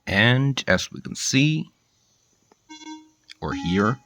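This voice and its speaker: A man's voice: medium pitch, expressive, very slow, sharp tone, soft background music. A man hosting a DIY video, giving a casual demonstration and often hesitating as he searches for words.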